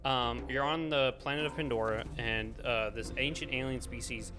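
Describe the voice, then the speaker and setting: A man's voice: mid-range voice, steady tone, normal speed, bright, forceful tone, soft background music. A man hosting a podcast in a casual movie review chat, exasperated and slipping into mock dialogue. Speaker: podcast host.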